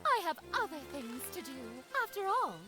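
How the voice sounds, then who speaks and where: Vain magpie queen, very high voice, wildly swooping, flirtatious, greedy, theatrical, cartoon game, music behind. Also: normal speed. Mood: grand, self-satisfied. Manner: theatrical, haughty, drawling flattery. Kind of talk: cartoon adventure game voice acting.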